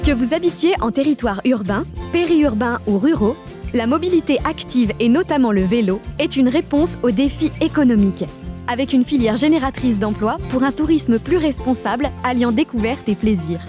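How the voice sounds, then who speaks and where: A woman from a regional nature park staff, giving upbeat scripted narration for an animated explainer. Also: high voice, music in the background. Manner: upbeat, informative, lists facts briskly.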